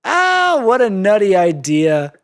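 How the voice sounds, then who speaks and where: A story reader voicing an excitable, anxious, bookish female cartoon pony who stammers in disbelief. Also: mid-range voice, slow pace, clear, resonant tone, pitched above the performer's own voice, heavily acted. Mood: relieved, giddy. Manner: stammering, incredulous, squealing outbursts.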